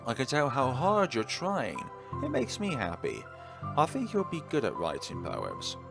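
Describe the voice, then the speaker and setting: Man as cheerful visual novel schoolgirl. Sweet, supportive, raised bouncy pitch, music underneath. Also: huge pitch swings, measured pace, creaky, full character voice. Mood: happy, encouraging. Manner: bubbly, encouraging. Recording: music behind the voice.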